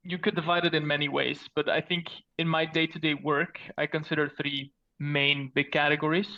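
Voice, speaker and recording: Bright-voiced Belgian-raised man, muffled sound. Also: a little high, little pitch movement, fairly quick. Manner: earnest, explanatory, with fillers like "so" and "basically". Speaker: podcast guest, security architect. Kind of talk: casual technical interview.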